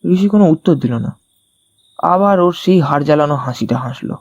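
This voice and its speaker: A man telling a detective thriller in the first person, in an expressive, conversational storytelling style. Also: a little high, fairly quick, no echo, dull audio. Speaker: narrator of an audio story.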